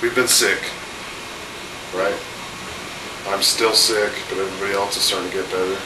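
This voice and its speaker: A man's voice: sits low, steady tone, moderate pace, far from the mic. Man, 30s, head cold, loose chatty host, echoey room, mic set back, AC hum. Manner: chatty, rambling with fillers. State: has a cold, voice a little off. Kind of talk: casual home video chat.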